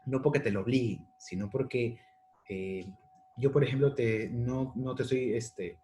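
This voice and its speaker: A man's voice: medium pitch, fairly even intonation, measured pace, dark tone, slight background noise. A man giving a casual history lesson to secondary students, thinking aloud and rephrasing, often checking in with "¿de acuerdo?". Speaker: history teacher.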